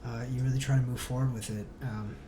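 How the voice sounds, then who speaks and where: A man's voice: mid-range voice, steady tone, fast talker. A man chatting casually and earnestly as a podcast guest, speaking emphatically and informally. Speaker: band singer, podcast guest. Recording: faint background noise.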